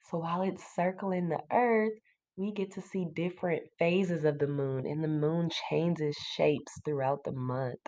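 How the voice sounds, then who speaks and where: A woman teacher reading a children's book aloud to a class, pausing to explain simply and put questions to young listeners. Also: low-pitched, steady tone, dark tone.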